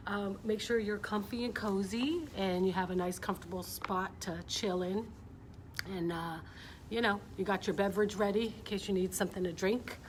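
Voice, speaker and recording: Chatty woman over clear background noise. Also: mid-range voice, rough recording. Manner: chatty, informal, often checking in with young listeners. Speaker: coach hosting a children's story time. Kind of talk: casual livestream chat and picture-book read-aloud.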